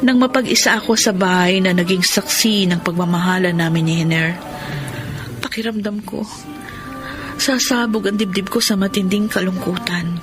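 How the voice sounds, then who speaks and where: An actress with a Filipino accent playing a sorrowful, lovelorn woman in a radio soap drama, speaking softly and tenderly. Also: mid-range voice, normal speed, natural voice. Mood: sorrowful, longing. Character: lovelorn, sorrowful woman pleading with her former lover. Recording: loud music bed under radio drama. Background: sentimental music.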